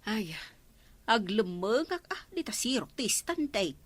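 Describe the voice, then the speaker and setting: An actress playing an excitable, evasive village gossip with an Ilocano accent in a radio comedy drama, full of exclamations. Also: female voice, fairly high pitch, measured pace, breathy edge, full character voice. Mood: flustered.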